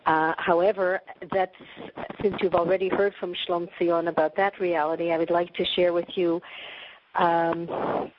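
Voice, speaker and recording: A woman's voice: on the low side, little pitch movement, measured pace, lo-fi sound, slight background noise. A woman with a Canadian accent, a nonprofit director, addressing donors in a conversational way with many fillers. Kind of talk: speech to donors.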